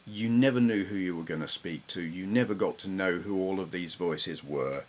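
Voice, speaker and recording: A middle-aged man with an English accent giving casual, reflective, slightly halting commentary as he reminisces. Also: deep voice. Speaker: retro gaming YouTuber.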